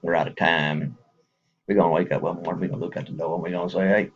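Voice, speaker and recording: A man with a thick Texas drawl talks to his viewers in a loose, folksy ramble, often breaking into a chuckle. His voice sits very low and gravelly, with a strongly husky, rough edge. The recording is muffled and lo-fi. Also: steady tone, fast talker. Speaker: man speaking to supporters. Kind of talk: casual appeal to viewers.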